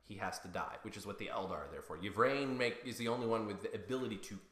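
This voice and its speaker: A man's voice: on the low side, quick pace, a bit bright. A man explaining game lore in a casual, detail-minded podcast style, recorded in a roomy amateur setup.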